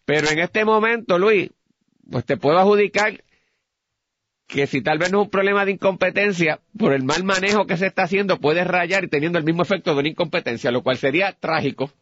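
A man with a Puerto Rican accent arguing a point deliberately in repeated phrases as a political commentator, recorded with dull radio sound. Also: sits high, fairly bright tone, a little hiss or hum.

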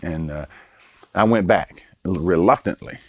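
A man in his sixties from Baltimore, a guest telling stories from his life in a relaxed way, chuckling and repeating words for emphasis. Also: deep voice, measured pace. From Baltimore, Maryland. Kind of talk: casual storytelling interview.

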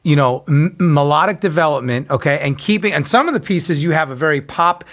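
A man's voice: on the high side, a little fast, fairly bright tone. A man hosting an interview, effusive and full of praise, talking in loose run-on sentences. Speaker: podcast host. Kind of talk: conversational interview.

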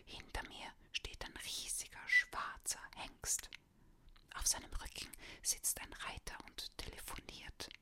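A woman reading a children's story aloud in a soft, unvoiced whisper. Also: measured pace.

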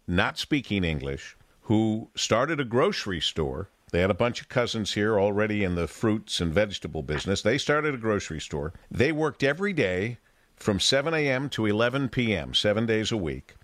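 An older man hosting a radio show, interviewing a guest in a measured way with deliberate pauses. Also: on the low side, very animated, fairly bright tone, dry recording.

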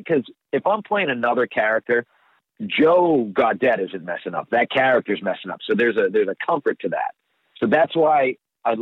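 Boston voice actor on a phone line. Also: male voice, mid-range voice, no echo. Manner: chatty and rambling, with many restarts. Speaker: voice actor guest. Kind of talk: casual interview talk.

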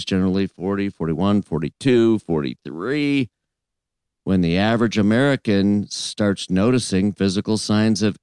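A man's voice: fairly low pitch, darkish tone, clean tone, dry recording, studio quality. A man in his forties hosting a morning talk show, telling a story in an easy conversational way. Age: 40s. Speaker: radio show host.

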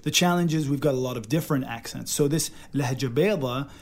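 A man's voice: medium pitch, brisk. A man with an American accent co-hosting a podcast, speaking earnestly and emphatically, often laughing. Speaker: podcast co-host. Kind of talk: casual chat.

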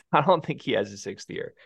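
Animated, teasing podcast co-host man. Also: mid-range voice, moderate pace, gravelly, husky. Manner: animated, teasing, laughs often. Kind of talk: casual sports podcast banter.

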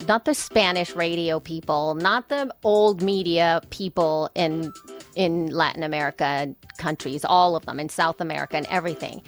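A podcaster woman from El Salvador holds forth in relaxed conversation. She talks animatedly, acting out little quoted exchanges and restarting her sentences as she thinks. Faint music sits under her voice. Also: fairly low pitch. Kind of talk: casual conversation.

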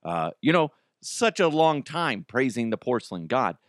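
Hoarse, raspy-voiced man riffing. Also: medium pitch, big pitch swings, normal speed, dry recording, studio quality. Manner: rambling, laughs at his own jokes. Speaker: podcast host. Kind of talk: casual comedic commentary.

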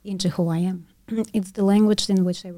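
Woman, middle-aged, Russian native speaker, professor, reflective, measured, mellow tone, dry recording. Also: mid-range voice, fairly even intonation, no room sound. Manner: warm, measured and philosophical, often asks "right?". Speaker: professor of physics and education. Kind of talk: reflective conversation.

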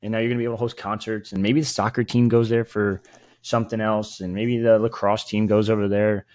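A man's voice: lowish voice, little pitch movement, fast talker, darkish tone, dry recording, clean studio sound. A man co-hosting a sports podcast, talking in a rambling, informal and opinionated way. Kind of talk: casual sports chat.

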